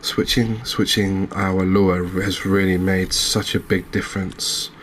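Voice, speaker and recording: A man giving gentle, unhurried ASMR commentary over a cozy fishing game, with hesitant, understated phrasing. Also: low voice, flat delivery, slight background noise. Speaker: host, video game streamer.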